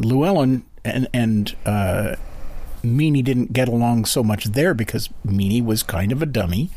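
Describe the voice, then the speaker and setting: A man co-hosting a conversational interview, speaking in a halting, thoughtful way with many pauses. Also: mid-range voice, darkish tone. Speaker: interviewer, co-host.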